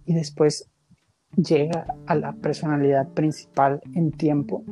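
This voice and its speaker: A woman's voice: sits low, level tone, a little slow, warm tone, faint music behind, rough recording. A woman in a casual, reflective conversation, thinking aloud and searching for her words. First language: Spanish. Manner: musing, hesitant, searching for words.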